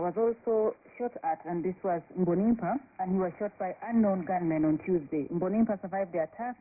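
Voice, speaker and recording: A woman reporting and explaining news on the radio, heard over a shortwave broadcast. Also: on the low side. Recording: tinny, noisy shortwave radio signal.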